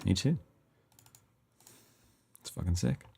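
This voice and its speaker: Very deep, gravelly-voiced man. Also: slow pace. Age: 30s. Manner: relaxed, laughing, thinking aloud while working. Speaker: livestream host composing music. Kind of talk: casual livestream chat, reading viewer comments. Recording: faint music playing behind, keyboard typing.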